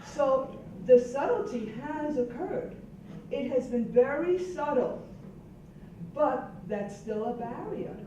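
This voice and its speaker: A woman's voice: sits high, expressive, slow pace, mellow. Older Puerto Rican woman, echoey distant room. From Puerto Rico. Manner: earnest, reflective, emphatic. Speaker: roundtable participant. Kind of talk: panel discussion. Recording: distant echoey room mic, dull and noisy.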